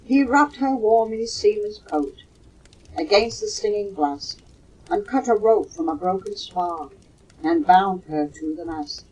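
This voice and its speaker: An older woman with a husky voice, reciting poetry slowly and expressively on a low-fidelity home cassette recording. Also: animated, darkish tone, low-quality recording. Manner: slow, measured, dramatic storytelling delivery. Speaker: mother reading poems for her children.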